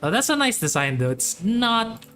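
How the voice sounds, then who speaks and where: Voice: mid-range voice, big pitch swings, moderate pace, sharp tone. A game streamer giving casual commentary in short, informal, musing remarks. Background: faint game music.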